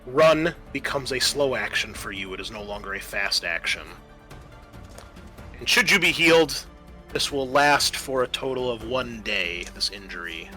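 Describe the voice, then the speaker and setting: A man's voice: medium pitch, expressive, normal speed, sharp tone. A man acting as game master, methodically explaining tabletop game rules to his players. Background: music.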